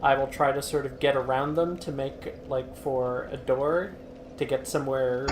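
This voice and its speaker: A man's voice: medium pitch, fairly even intonation, moderate pace. Rambling man in a roomy recording. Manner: rambling, many fillers and restarts. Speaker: let's play host. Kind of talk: casual gaming chat. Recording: roomy mic with audible noise.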